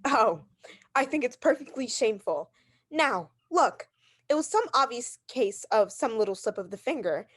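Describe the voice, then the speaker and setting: A woman's voice: on the high side, expressive, normal speed. A woman acting a neurotic, demanding, haughty invalid wife in a radio mystery drama, agitated and insistent. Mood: exasperated, demanding.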